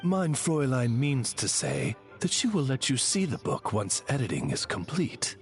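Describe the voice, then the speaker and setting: A man's voice: mid-range voice, big pitch swings, moderate pace, faint music behind, close to an everyday voice. A man playing a dignified raven familiar in an anime game, a courteous, deferential interpreter with formal speech. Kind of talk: English-dubbed anime game acting.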